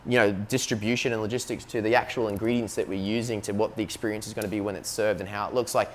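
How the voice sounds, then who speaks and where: A man's voice: fairly low pitch, steady tone, fast, slight background noise. An Australian man, a business co-founder, talking casually in an interview and filling his speech with "like" and "you know what I mean". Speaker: company co-founder, guest.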